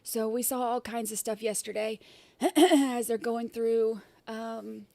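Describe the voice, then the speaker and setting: A woman hosts a casual livestream, her voice gravelly and scratchy from an irritated throat. She sits a bit high in pitch and keeps clearing her throat. She rambles with fillers and restarts, and the sound is dry and close. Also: fairly even intonation, slow pace, darkish tone, no room sound. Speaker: livestream host.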